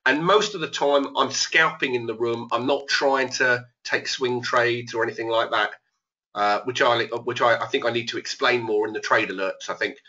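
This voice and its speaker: Man talking through live trades, slightly hoarse. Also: mid-range voice, steady tone, moderate pace, pushed and bright, highs cut off. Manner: casual, thinking aloud. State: losing his voice. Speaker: trading educator. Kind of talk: live trading room commentary.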